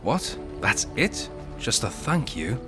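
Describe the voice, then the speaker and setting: A man playing a steady, protective warrior hero in a fantasy game, speaking plainly and directly. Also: medium pitch, slow pace, over music. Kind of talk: earnest game dialogue acting.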